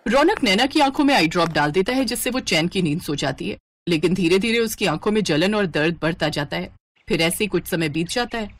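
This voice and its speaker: A woman's voice: lowish voice, lively intonation, fairly quick. A woman narrating a scripted domestic story as a storyteller.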